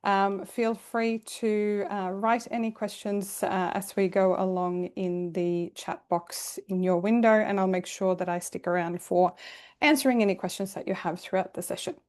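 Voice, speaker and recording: A Swedish-born woman coaching a live online workshop, her pitch sitting in the middle of the range. She talks in a chatty, welcoming way and laughs lightly between points. She comes through a video call. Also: steady tone, moderate pace, darkish tone. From Sweden. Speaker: career coach hosting a webinar.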